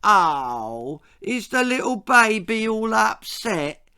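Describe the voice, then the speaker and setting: A man's voice: high-pitched, very animated, slow pace, pushed and bright, clean tone, voice pushed up, full character voice. A man voicing a cruel schoolboy bully with a taunting, sneering manner, in a children's storybook reading. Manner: taunting, sneering, mock baby-talk.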